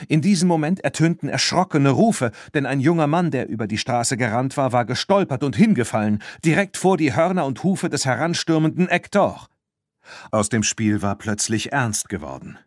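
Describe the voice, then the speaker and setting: A man narrates a children's audiobook in an expressive storytelling style. His mid-pitched voice moves up and down a lot. The sound is very dry. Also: no room sound.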